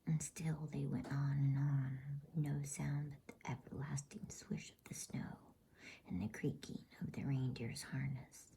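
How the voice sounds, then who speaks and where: A woman reading a bedtime storybook aloud in a soothing, unhurried ASMR whisper. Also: extremely low pitch, fairly even intonation, gravelly, dark tone, slight background noise.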